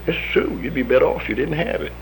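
A man's voice: mid-range voice, expressive, fast, slight background noise, rough recording. An American man in his fifties, an army veteran telling his story in a folksy manner, acting out the voices of the people he quotes. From United States. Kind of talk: oral history storytelling.

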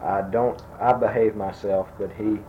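A man in his sixties, speaking softly and slowly as he asks grammar fill-in-the-blank questions, his sentences trailing off. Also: on the low side, steady tone. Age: 60s. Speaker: interviewer giving a grammar quiz. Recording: dull lo-fi tape with faint noise.

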